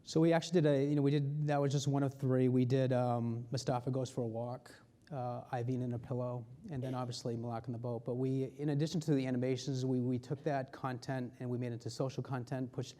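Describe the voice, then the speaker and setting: A man's voice: mid-range voice, flat delivery, fast, dark tone. A man speaking as an advertising executive, giving an informal, conversational presentation at a conference, repeating phrases for emphasis.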